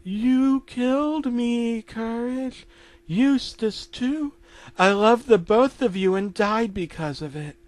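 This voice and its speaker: Man putting on a high-pitched gentle old cartoon wife. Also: takes it slowly, no room sound. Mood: sickly, eerie.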